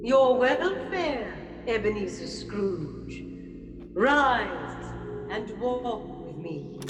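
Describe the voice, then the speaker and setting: An ageless, commanding spirit in a radio drama. The speech is extremely slow, stately and formal. It rings in long reverb with music underneath. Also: female voice, a little high, big pitch swings, full character voice. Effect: heavy reverb. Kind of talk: theatrical radio drama acting.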